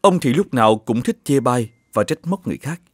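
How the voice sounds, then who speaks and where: A man's voice: medium pitch, expressive, a little fast, dry recording, clean studio sound, faint music behind, lightly acted. A man playing a solemn old saint who guards heaven's gate in a cartoon fairy tale, grave and admonishing. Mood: stern warning.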